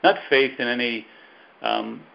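A man's voice: medium pitch, huge pitch swings, creaky. An older man with a Belfast Irish accent, a guest telling his life story thoughtfully and unhurriedly over a video call. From Northern Ireland. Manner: thoughtful, unhurried, with soft laughs. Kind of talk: reflective storytelling. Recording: dull lo-fi call audio.

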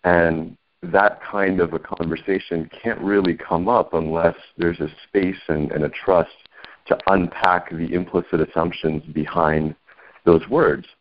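A man hosting an interview over a video call, speaking in a measured, reflective way. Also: deep voice, steady tone, slight background noise. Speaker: host. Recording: dull lo-fi call audio.

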